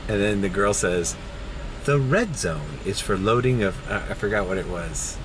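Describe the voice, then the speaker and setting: Young rideshare driver, groggy early-morning vlog voice, animated and profane, in a car with faint road noise. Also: male voice, on the low side, moderate pace. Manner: swears casually, animated storytelling. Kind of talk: casual driving vlog. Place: inside a car.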